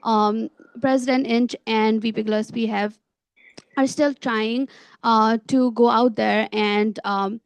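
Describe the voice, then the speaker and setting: A young woman with a fairly high, creaky voice speaks as a student officer at a meeting. The sound is close and dry, with little room around her. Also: steady tone, slow, no room sound, rough recording. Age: young adult. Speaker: student government vice president. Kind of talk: spoken officer report at a meeting.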